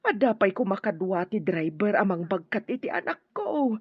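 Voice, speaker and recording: A woman's voice: mid-range voice, very animated, moderate pace, breathy edge, dry recording. A woman playing an anxious, protective mother in an Ilocano radio drama, worried and emotive. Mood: distressed. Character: anxious, protective mother of a sick grown daughter. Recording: dull lo-fi audio with music.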